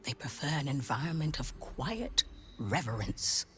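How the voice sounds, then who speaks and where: A woman's voice: very deep voice, lively intonation, measured pace, sharp tone, faint music behind, heavily acted. A woman playing a prim, condescending, book-loving shop librarian who whispers in a fantasy video game. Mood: hushed, proud. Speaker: bookseller in literature department.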